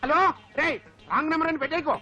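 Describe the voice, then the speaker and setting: Agitated comic uncle in a movie, really high husky voice, dull lo-fi soundtrack w faint music. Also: male voice, steady tone, very fast. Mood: anxious, pleading. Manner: loud, indignant, exclamatory. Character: agitated, blustering comic uncle and aggrieved father. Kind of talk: Telugu film comedy acting.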